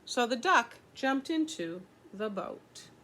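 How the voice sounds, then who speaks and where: A woman's voice: on the high side, very animated, takes it slowly, a bit bright. An older woman reading a children's story aloud, explaining things simply as a teacher would to young listeners.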